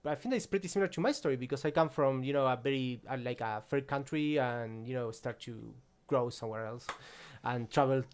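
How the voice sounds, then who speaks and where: A man hosting a casual livestream interview, upbeat and chatty with quick agreeing interjections. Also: mid-range voice, smooth. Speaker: host.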